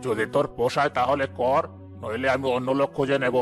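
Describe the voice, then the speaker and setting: Cartoon villain: miserly village boss male actor, mid-pitched, domineering, clear music bed. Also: steady tone, a little fast, close to an everyday voice. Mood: dismissive. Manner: domineering, dismissive. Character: stingy, bossy village boss exploiting his workers. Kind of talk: Bangla cartoon story dialogue.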